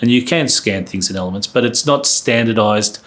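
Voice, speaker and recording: Low-voiced man explaining earnestly. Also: a little fast, a bit bright. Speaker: podcast guest, company employee. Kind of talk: conversational podcast interview.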